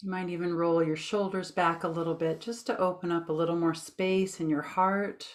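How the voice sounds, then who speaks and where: A woman leading a guided meditation with gentle, informal instructions in a soothing, unhurried manner. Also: lowish voice, level tone.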